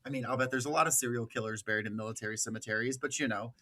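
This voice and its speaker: A man's voice: mid-range voice, rapid-fire, bright, forceful tone. A man with an American accent giving political commentary as a podcast host, partly reading from notes.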